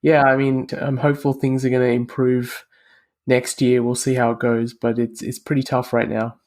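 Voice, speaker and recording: A middle-aged man from Australia, a guest in an interview, explaining his views in a calm, reasoned way. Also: medium pitch, normal speed. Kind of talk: casual interview conversation.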